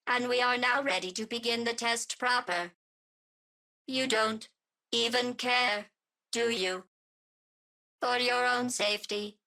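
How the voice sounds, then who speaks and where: Cold deadpan killer game ai, slow and crisp bright, bit of fry. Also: female voice, on the high side, exaggerated voice, poor audio. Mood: cold, mocking. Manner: deadpan, clinical, mock-polite. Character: cold, sardonic, murderous female artificial intelligence running tests. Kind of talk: video game voice acting.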